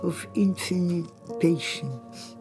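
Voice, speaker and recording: An older woman from Lebanon reading her own literary text in a measured, contemplative, poetic way. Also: on the low side. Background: music.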